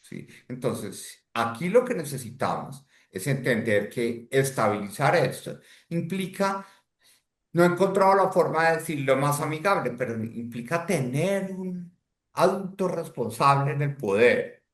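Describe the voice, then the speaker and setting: A man's voice: on the high side, expressive, unhurried. Emphatic Colombian man, roomy echo. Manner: emphatic, rhetorical, repeats "¿sí?". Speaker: guest, former statistics official. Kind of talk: passionate podcast conversation.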